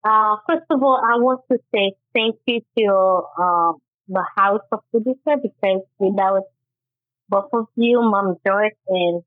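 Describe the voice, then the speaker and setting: Lady telling her story, halting and earnest, thin phone-line sound, dry. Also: medium pitch, no room sound. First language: Haitian Creole. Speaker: guest author. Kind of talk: interview, personal testimony.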